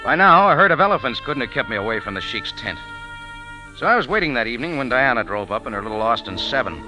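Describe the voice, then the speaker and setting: A man's voice: mid-range voice, sing-song, fast, close to an everyday voice, rough recording. An actor playing a wry, tough American cafe owner and sleuth, telling his story in punchy hardboiled radio-drama style. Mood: wry storytelling. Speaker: lead character and narrator. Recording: old radio recording with background music.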